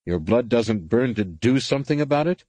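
A man's voice: mid-range voice, lively intonation, moderate pace, dark tone, no echo, voice pushed up, close to an everyday voice. A man reading aloud, voicing an angry, defiant middle-aged male warrior-monk who argues in a heated, rising way. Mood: impassioned.

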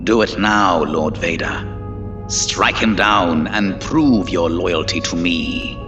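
A man's voice: low voice, dull audio, over music, voice pushed down. A man doing a hypnotic, sinister old emperor. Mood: commanding. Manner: slow, menacing, hypnotic. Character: sinister old male emperor, cruel, domineering.

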